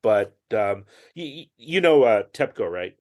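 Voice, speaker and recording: A man's voice: fairly low pitch, sing-song, measured pace. A man speaking as a podcast guest in casual conversation, chatty, with frequent fillers and restarts. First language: English.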